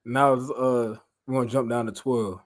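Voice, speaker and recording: An American man with a mid-pitched voice leads a Bible lesson as a preacher. He moves between reading aloud and loose, slangy paraphrase. His delivery is informal and conversational, and he repeats phrases for emphasis. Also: steady tone, moderate pace.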